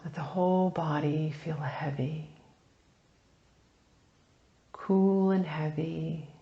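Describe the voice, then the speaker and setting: A woman leading a guided meditation, speaking softly and soothingly in unhurried phrases with long pauses, turning breathy at phrase ends. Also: low-pitched, fairly even intonation, echoey room, highs cut off. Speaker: meditation teacher.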